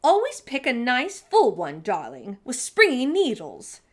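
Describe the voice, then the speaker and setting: A woman's voice: fairly high pitch, huge pitch swings, a little slow, exaggerated voice. A woman voicing a grand, eccentric great-aunt in a storybook, giving emphatic instruction. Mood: grandly instructive.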